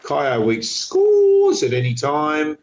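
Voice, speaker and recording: A man's voice: on the high side, wildly swooping pitch, takes it slowly, a little mellow, highs cut off. Male podcast co-host, stuffy with a cold, upbeat and self-mocking, casual sports chat. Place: hotel room.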